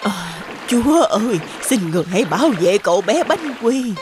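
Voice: mid-range voice, sing-song, moderate pace, music in the background, close to an everyday voice. Performer as a lilting kindly old grandmother. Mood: pleading, worried. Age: older. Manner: gently pleading and reassuring. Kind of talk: children's cartoon dub.

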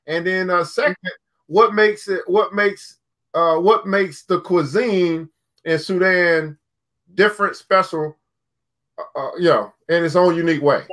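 A man's voice: high-pitched, a little slow, a bit bright. A man hosting a video call interview, asking long rambling questions with many restarts. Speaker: show host. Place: home room, indoors.

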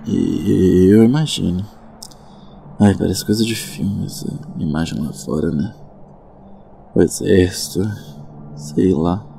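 A man playing a nervous, gentle, traumatized friend in an audio drama, hesitant and stammering. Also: sits low, slow, vocal fry, pauses often, a little hiss or hum, full character voice. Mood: anxious.

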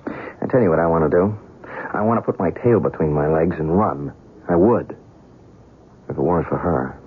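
An actor playing an agitated, skeptical young journalist in a 1970s radio drama, halting and exasperated. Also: male voice, low-pitched, breathy edge. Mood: defiant, skeptical. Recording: muffled, noisy vintage radio broadcast.

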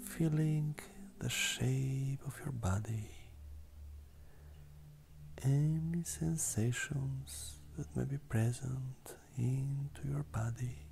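A meditation guide speaking softly and breathily, often close to a whisper, in a gentle, unhurried manner with long pauses. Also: mid-range voice, vocal fry. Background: ambient meditative music.

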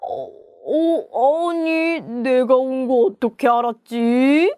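A woman's voice: high-pitched, animated, slow, dark tone, clean tone, no room sound, clean studio sound. A woman voicing a foolish, easily frightened tiger in a children's story, whimpering and exclaiming in bewilderment. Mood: puzzled. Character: foolish cowardly male tiger, easily terrified.

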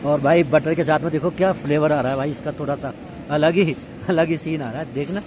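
A man's voice: fairly high pitch, steady tone. Chatty man, noisy outdoor vlog. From India. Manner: chatty, informal, addresses viewers as friends. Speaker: vlog host. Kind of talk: casual camping vlog narration to viewers. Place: outdoors at a riverside campsite. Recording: noisy outdoor recording, rushing river. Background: loud ambient river noise.

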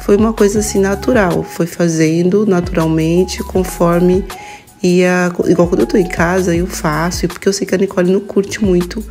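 Mellow low-pitched Brazilian woman vlogger. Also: steady tone, moderate pace, soft background music. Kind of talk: casual vlog narration.

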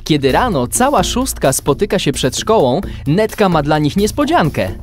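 A man's voice: on the high side, sing-song, moderate pace, faint music behind. A man narrating a children's adventure in a scripted audio drama. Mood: storytelling. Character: storyteller of a kids' adventure.

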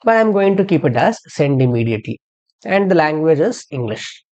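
A software instructor narrating a step-by-step tutorial in an explanatory way, posing questions and then answering them. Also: medium pitch, big pitch swings, normal speed. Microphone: screen-recording voice-over.

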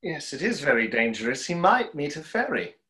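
A young man playing a bitter, enigmatic stage conjurer in a play reading, dry and deliberate. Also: fairly high pitch, big pitch swings, bright, forceful tone. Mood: dry, sardonic. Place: plain room at home, video call.

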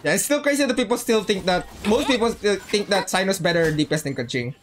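A woman's voice: medium pitch, animated, fast talker, a little hiss or hum. A woman livestreaming a video game, chatting casually and bantering with viewers, often repeating her words and phrases. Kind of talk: casual gameplay chat with viewers.

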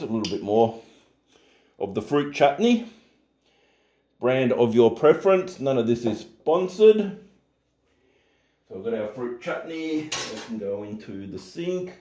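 A man's voice: mid-range voice, reverberant, a little hiss or hum. A middle-aged man hosting a casual home cooking tutorial in his kitchen, chatty and joking at himself. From Australia. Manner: relaxed, chatty, jokes at himself.

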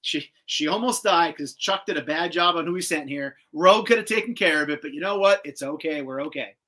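A man hosting a casual video-call chat, recapping a cartoon episode in an animated, slangy, sometimes profane way. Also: fairly high pitch, fairly quick. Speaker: host. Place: indoor room with shelves of collectibles, on a video call.

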